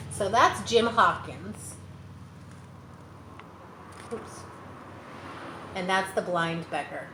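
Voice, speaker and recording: A woman in her forties reading a children's book aloud, dramatically and with explanatory asides for young listeners. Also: medium pitch. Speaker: storyteller. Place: bright room by large windows. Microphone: camera a short distance away. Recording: roomy, some background noise.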